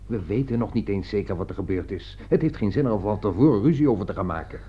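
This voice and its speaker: A man's voice: on the low side, lively intonation, fast talker, slight background noise, close to an everyday voice. A man playing a level-headed, practical writer in a radio drama, firm and reasoning as he takes charge. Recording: muffled old radio play recording.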